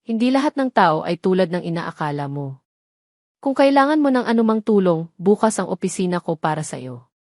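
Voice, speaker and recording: A woman's voice: lowish voice, very animated, a little fast, darkish tone, no echo. A scheming older male politician voiced by a female narrator in an audio drama. He speaks with condescending, fatherly sympathy that is false. The recording is dry. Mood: falsely kind.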